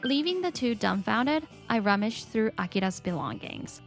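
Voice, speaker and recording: A woman voicing an earnest, expressive young mother and wife in a narrated manga dub. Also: a little high, moderate pace, soft background music. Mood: earnest narration. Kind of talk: scripted story narration, manga dub acting.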